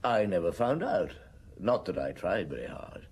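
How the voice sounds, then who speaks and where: An actor playing a shrewd, weary middle-aged police detective in a radio drama, speaking in a measured, reflective way. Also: male voice, low voice, sing-song, a little mellow, husky, full character voice. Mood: wistful, reflective. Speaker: lead actor in an audio drama. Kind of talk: radio drama acting, dialogue and reminiscence.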